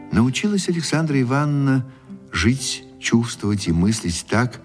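An actor playing a sympathetic storyteller, narrating a literary radio drama in a measured, expressive way. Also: male voice, on the low side. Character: sympathetic male storyteller of a sad tale. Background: soft guitar music.

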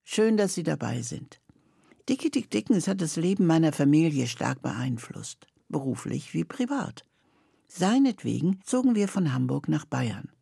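An older woman presents a radio series with a scripted, personal introduction. Her voice is gravelly and dark, recorded dry with no room sound. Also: low-pitched, sing-song, moderate pace, dry recording.

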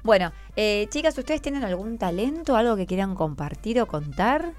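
A woman's voice: medium pitch, big pitch swings, moderate pace, a little mellow, faint music behind. A woman with a Rioplatense Argentine accent hosting an informal radio interview, patiently encouraging her guests with questions. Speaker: radio host interviewing schoolchildren.

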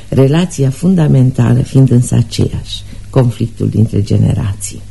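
A man reading a scripted literary introduction to a radio play in a measured, formal, scholarly manner. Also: mid-range voice, vocal fry, dark tone, breathy edge.

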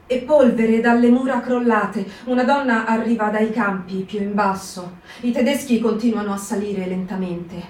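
High-voiced woman in dramatic recitation. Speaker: reader in a performed reading. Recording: roomy radio broadcast from a distant mic. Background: loud guitar music.

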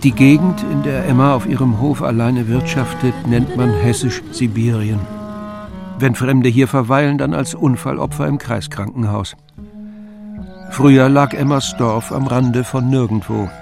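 A man narrating a radio play in a measured, wry storytelling manner. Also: mid-range voice, steady tone, gravelly. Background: music.

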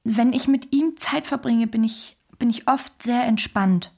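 A woman playing a composed, strong-minded Chinese wife, reminiscing in measured, simple phrases in a documentary radio play. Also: fairly high pitch, vocal fry, a little mellow. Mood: reflective.

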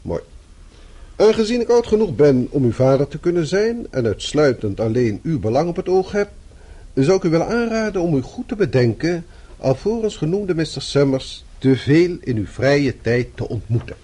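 A middle-aged boss in a radio drama, formal and dryly cutting. His voice is soft-edged and husky, with intonation that swoops as he disapproves. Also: on the high side, sing-song, normal speed. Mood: dryly disapproving. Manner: formal, clipped, dryly sarcastic. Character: stern, sardonic middle-aged male boss, fatherly and disapproving. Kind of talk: radio play acting.

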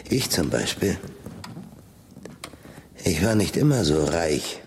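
A man's voice: deep voice, big pitch swings, slow, a little hiss or hum, heavily acted. An actor playing a rich, brooding older man in a radio drama monologue, musing philosophically and ponderously, at times dropping toward a whisper. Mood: wistful, resigned.

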